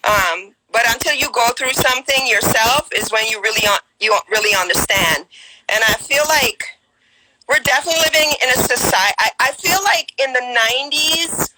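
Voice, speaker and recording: A woman's voice: a little high, normal speed, background noise. A woman in her forties with a Guyanese accent, sharing a personal story on a live stream call in an earnest, hesitant way with many ums and restarts. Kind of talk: casual personal testimony.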